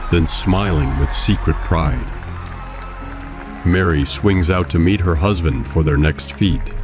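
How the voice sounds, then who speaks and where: A man giving scripted narration for an audio drama. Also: low-pitched. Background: music and crowd sounds.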